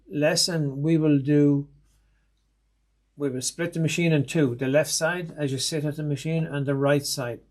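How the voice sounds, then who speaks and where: A man's voice: on the high side, darkish tone. Irish man giving a hands-on lesson. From Ireland. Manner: methodical, plain-spoken, with frequent um and uh. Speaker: sewing machine technician. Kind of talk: instructional demonstration.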